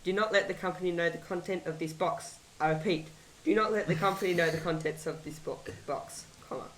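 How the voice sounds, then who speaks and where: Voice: mid-range voice, brisk, a little hiss or hum. An Australian podcast co-host with a casual style, reading aloud and adding dry joking asides.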